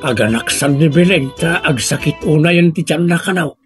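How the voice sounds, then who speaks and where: A man's voice: a little high, moderate pace, loud music behind the voice, natural voice. A man playing an exasperated, chiding village husband in an audio comedy drama. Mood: urgent, reproachful. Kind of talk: Ilocano radio-style comedy drama acting.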